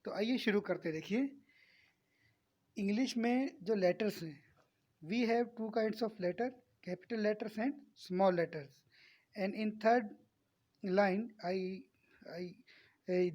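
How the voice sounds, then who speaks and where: Slow-paced Indian woman teaching. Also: medium pitch, a little mellow. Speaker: English teacher. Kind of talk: instructional lesson, explaining step by step.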